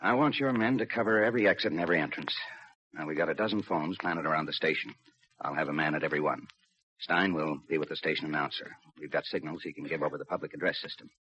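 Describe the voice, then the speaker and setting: An actor playing a steady, businesslike railroad police lieutenant, narrating and talking through his case in a 1950s radio crime drama. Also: a bass voice, fast, slightly rough, heavily acted. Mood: brisk, commanding. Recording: muffled old radio recording, crowd noise.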